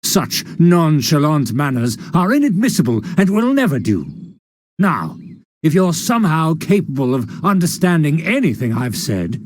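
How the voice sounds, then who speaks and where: Pompous aristocrat in a video game, scornful and condescending, slightly higher man's voice. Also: big pitch swings, dry recording, clean studio sound. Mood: haughty. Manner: haughty, condescending, drawn-out speech. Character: pompous arrogant noble man, haughty and scornful.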